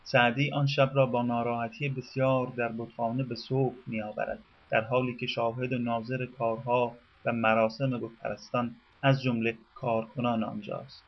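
A man reads scripted radio narration in a measured, formal manner and eases into a slower cadence whenever he recites classical verse. His voice sits at an ordinary middle pitch. The recording sounds dull and lo-fi, like an old radio broadcast. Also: flat delivery.

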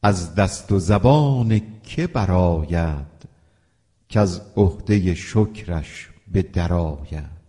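Male reciter of classical verse, deep and mellow, slow and solemn, heavy reverb. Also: expressive. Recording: long reverb.

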